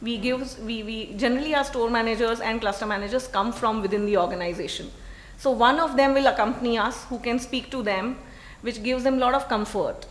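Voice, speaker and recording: A woman's voice: on the high side, brisk, reverberant, a little hiss or hum. A woman, speaking as a retail executive on a panel, earnest and explanatory with frequent fillers. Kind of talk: panel discussion.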